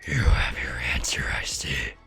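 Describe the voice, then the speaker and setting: A man voicing an ancient, gruff and wise giant fox spirit in a slow, breathy, raspy growling whisper for a story narration. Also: rumbling low, sharp tone, soft background music, voice pushed down, heavily acted. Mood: solemn resolve. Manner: slow, grave, weighty delivery.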